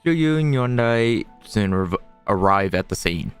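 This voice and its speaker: A man's voice: lowish voice, lively intonation, measured pace, clear, resonant tone, faint music behind. A man giving offhand, wry, casual commentary as he plays a video game. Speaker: streamer playing a video game.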